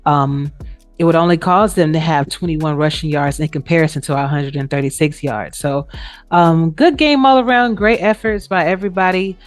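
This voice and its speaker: A woman's voice: deep voice, moderate pace. A woman co-hosting a sports show, giving a casual recap in informal, colloquial phrasing. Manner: informal, colloquial phrasing with trailing "you know". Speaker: co-host of a sports league show, also a player. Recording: roomy echo, music behind.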